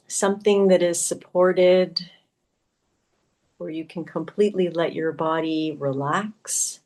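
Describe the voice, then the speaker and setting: A woman yoga teacher leading a guided meditation in a soothing, gently instructive way, leaving long pauses between phrases. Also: fairly low pitch.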